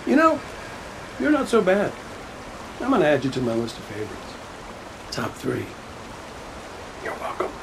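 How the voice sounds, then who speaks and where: A man's voice: on the high side, wildly swooping pitch, moderate pace, vocal fry, long pauses, noisy background, full character voice. A man playing a cocky, playful rogue adventurer in a video game, with a breezy, teasing manner. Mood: teasing, smug. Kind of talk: video game cutscene acting.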